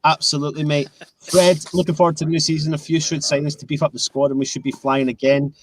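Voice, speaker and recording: A man's voice: on the high side, level tone, fast talker, dry recording, low-quality recording. A young Scottish man hosting a casual live fan stream, chatting and reading out viewer comments over a video call. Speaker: host.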